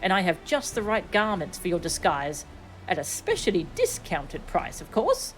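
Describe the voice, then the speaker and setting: A woman's voice: on the low side, huge pitch swings, normal speed, faint music behind, rough recording. A woman voicing an eager, talkative merchant from a fantasy game, with a dramatic, salesy manner.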